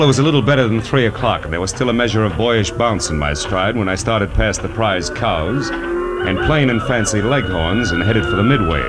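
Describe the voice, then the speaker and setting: A low-pitched, world-weary male detective played by a man in a 1940s radio noir. He is sardonic and clipped, always ready with a dry crack. The vintage recording carries music underneath. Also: natural voice. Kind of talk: 1940s radio noir acting and first-person narration.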